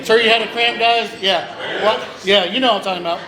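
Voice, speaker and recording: A man's voice: high-pitched, steady tone, fast, bright, forceful tone. A man in his thirties doing rambling, self-deprecating stand-up, acting out bits of dialogue in a casual way. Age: 30s. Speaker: stand-up comedian. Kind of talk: stand-up comedy, casual joke telling. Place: small live comedy venue, through speakers. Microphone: stage microphone with PA. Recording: echoey live club with chattering crowd.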